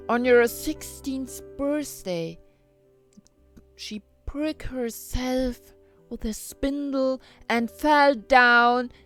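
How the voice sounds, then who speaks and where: A woman voicing a gentle good fairy in a fairy tale, speaking solemnly and deliberately, as if casting a spell. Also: fairly high pitch.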